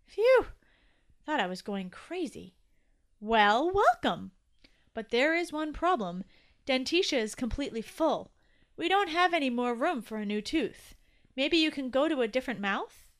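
A woman's voice: high-pitched, very animated, moderate pace, a bit bright, pitched above the performer's own voice. A woman voicing a young male baby tooth in a children's story, naive and polite, with a worried, pleading manner. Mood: relieved, polite. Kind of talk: acted children's story dialogue.

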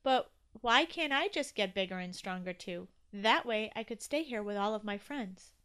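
A woman's voice: mid-range voice, expressive, moderate pace, sharp tone, clean tone. A woman voicing a young male baby tooth in a children's story, naive and polite, with a worried, pleading manner. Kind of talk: acted children's story dialogue.

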